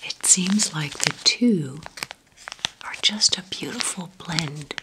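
A woman whispering gently through an ASMR question-and-answer video, talking fondly and slowly with soft fillers. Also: deep voice, background noise. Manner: slow, gentle, affectionate rambling with fillers. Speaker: ASMR creator.

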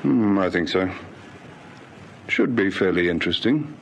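An actor playing a dry, easygoing police sergeant in a vintage radio drama. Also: male voice, sits low, expressive, unhurried, creaky, over loud music, full character voice. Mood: thoughtful. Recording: noisy old radio serial recording.

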